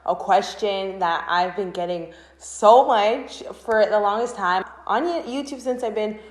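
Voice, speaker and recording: Woman in her twenties, from Canada, casual vlog talk, bubbly and expressive, medium pitch, roomy echo. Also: measured pace. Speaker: vlogger and channel host. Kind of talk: casual chatty vlog to camera. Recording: roomy, echoing room sound.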